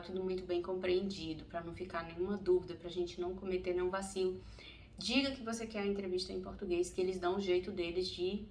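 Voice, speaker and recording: A middle-aged Brazilian woman telling a personal story in a casual, chatty vlog, laughing often as she goes. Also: medium pitch. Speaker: vlogger. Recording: distant mic, faint ambient noise.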